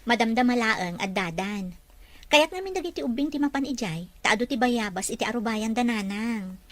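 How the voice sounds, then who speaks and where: High female voice, Ilocano Filipino accent, devoted long-suffering wife played by an actress, plain-spoken, family drama. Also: lively intonation, normal speed, lightly acted. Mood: earnest. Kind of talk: acted family drama dialogue.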